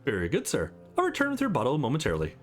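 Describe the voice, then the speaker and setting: A man's voice: mid-range voice, wildly swooping pitch, rapid-fire, soft background music. A man voicing a polite restaurant waiter with a courteous, formal manner in a visual novel.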